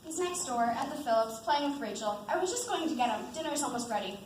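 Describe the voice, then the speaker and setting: A woman's voice: fairly high pitch, expressive, quick pace, lightly acted. A woman acting as an anxious, fretful young army wife and mother in an amateur stage drama. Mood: busy, worried. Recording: echoey stage, faint background noise.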